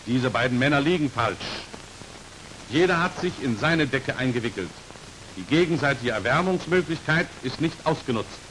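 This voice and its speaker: A man's voice: mid-range voice, normal speed, low-quality recording. A man narrating a 1940s instructional film in a formal, precise, matter-of-fact voice, on an old hissy soundtrack. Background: steady hiss.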